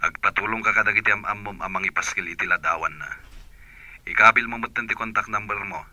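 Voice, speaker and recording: A man playing a calm, practical husband in a radio drama, giving steady advice on the search. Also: fairly low pitch, fast, no room sound. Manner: steady, advising.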